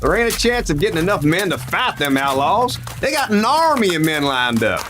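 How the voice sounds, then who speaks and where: A man playing an excitable, folksy old-west sheriff in a radio play, blustery and grumbling, with a twangy American Western drawl. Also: sits high, fairly quick, music in the background. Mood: fired up. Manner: blustery, exclamatory cowboy slang.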